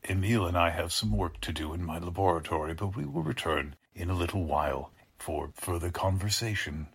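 An actor playing a courtly but menacing mad scientist in a 1940s-style radio drama, with formal, pompous, halting delivery. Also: male voice, deep voice, lively intonation, breathy edge, heavily acted. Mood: falsely reassuring.

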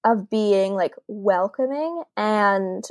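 A woman's voice: medium pitch, animated, slow pace, no room sound, clean studio sound. A young adult woman co-hosting a podcast in casual chat, candid and chatty with frequent laughs. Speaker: podcast co-host, book club organizer.

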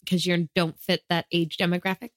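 Easygoing woman co-hosting a podcast, lowish voice. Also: level tone, fairly quick, no room sound, clean studio sound. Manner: easygoing, affirming, laughs often. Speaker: podcast co-host. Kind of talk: casual chat.

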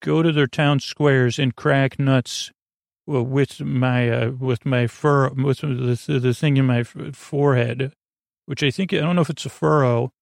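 A man hosting a sleep podcast with a meandering, halting monologue, often stammering and drifting off on tangents. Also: medium pitch, steady tone, gravelly, no room sound, studio quality. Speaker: podcast host.

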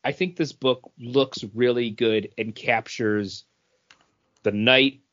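A man with a mid-pitched voice talks very slowly as a podcast co-host. He reviews comics casually, rambling and repeating phrases while he thinks. The sound is slightly dull and lo-fi. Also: fairly even intonation, a bit bright.

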